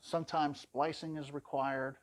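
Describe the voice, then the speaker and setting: A man's voice: a little high, level tone, measured pace, no echo. Man giving a dry, close-sounding product walkthrough. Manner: plain-spoken, conversational explaining. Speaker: product demonstrator.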